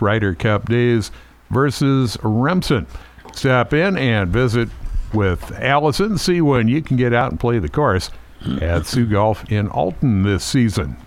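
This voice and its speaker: Male radio co-host, easygoing, lively pitch, reading golf listings. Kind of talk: casual chat and reading course listings.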